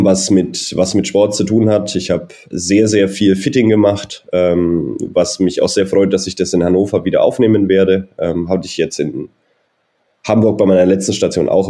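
A man in his thirties with a very low, mellow voice. He talks in a friendly, rambling way and leaves lots of fillers and pauses. He is a golf coach being interviewed about his career. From Germany (Nuremberg). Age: 30s. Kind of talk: interview answers, personal background.

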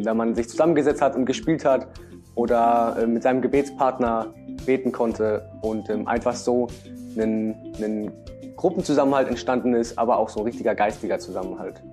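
A man's voice: medium pitch, darkish tone. A young man from Rhineland-Palatinate giving a short video statement steadily, with some fillers. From Rhineland-Palatinate, Germany. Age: young adult.

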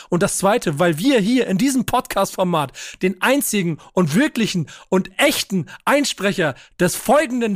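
A young man hosting a football podcast, energetic and joking, with casual swearing. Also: high voice, dry recording, clean studio sound. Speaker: podcast host. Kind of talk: casual chat.

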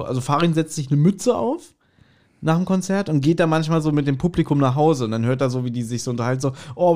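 A man with a slightly high voice chats as a podcast co-host. He tells stories in an amused, informal way, slipping into little quotes as he goes. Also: a little mellow. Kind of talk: casual chat, telling anecdotes.

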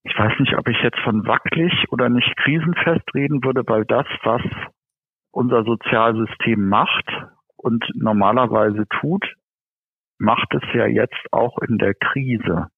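A man giving measured, earnest, explanatory answers as an interview guest over a telephone line. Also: mid-range voice, husky, no echo. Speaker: interviewed welfare association spokesman. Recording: thin band-limited phone line.

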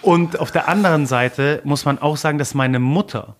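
A man whose first language is Polish, talking in a personal interview in an earnest, emotional way, pausing often with fillers and repeating words as he searches for them. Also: a little high. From Germany. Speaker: interview guest.

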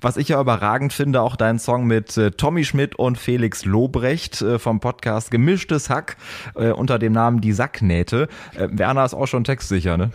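A man's voice: medium pitch, moderate pace, no room sound, studio quality. A man co-hosting a podcast, interviewing in a casual, conversational style. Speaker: podcast co-host. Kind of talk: casual interviewing, fan-like commentary.

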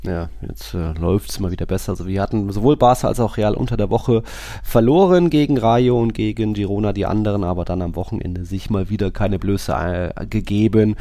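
A man's voice: fairly low pitch, a little fast, dark tone, dry recording. A man co-hosting a football podcast, casually going through results and statistics. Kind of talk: casual sports commentary with statistics.

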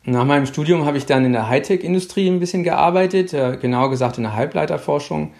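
A middle-aged man from Hamburg, heard over a phone line as a podcast guest. His voice has a gravelly rasp, and he talks in a halting way, leaning on fillers as he explains. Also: on the high side, darkish tone. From Hamburg, Germany. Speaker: guest, policy official. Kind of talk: interview answers.

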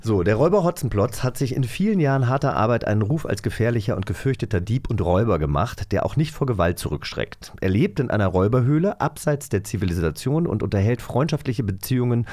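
A man's voice: medium pitch, lively intonation, fast, hardly any pauses, a little mellow. A man co-hosting a podcast in casual, playful banter with a teasing, jokey manner. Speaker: podcast co-host.

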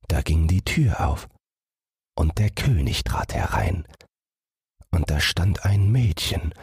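A man's voice: low-pitched, darkish tone, breathy edge, no echo, clean studio sound. A man narrating a scripted fairy tale as a bedtime audiobook.

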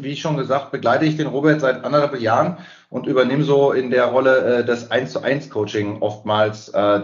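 Mid-pitched man, muffled recording. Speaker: sales coach. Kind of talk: casual self-introduction in an interview. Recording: dull lo-fi sound.

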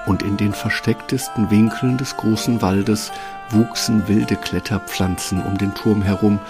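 A man narrates a fairy tale in a fairly low voice with a creaky, gravelly edge. His delivery is scripted storytelling, with some music behind it. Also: steady tone, a little slow.

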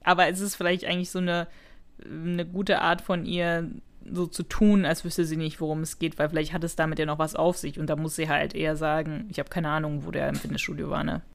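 A woman with a slightly low voice co-hosts a casual review podcast. She speaks colloquially, musing and speculating as she goes. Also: level tone, brisk, vocal fry. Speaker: podcast co-host. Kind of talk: casual chat reviewing an audio drama.

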